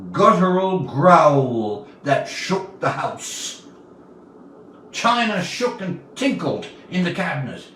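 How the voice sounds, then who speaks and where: Older man reading a story, slow and animated. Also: on the high side. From Newfoundland, Canada. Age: 70s. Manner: deliberate, dramatic pauses between short phrases. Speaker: author reading own story. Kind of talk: expressive storybook narration, reading aloud. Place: indoor room, livestream reading. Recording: roomy, distant mic with faint noise.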